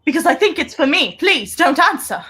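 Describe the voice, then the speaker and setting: A woman playing a mysterious, hunted secret agent in a 1930s radio play, intense and secretive, with deliberate theatrical delivery. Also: sits high, very animated, bright, forceful tone, full character voice. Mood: urgent, pleading.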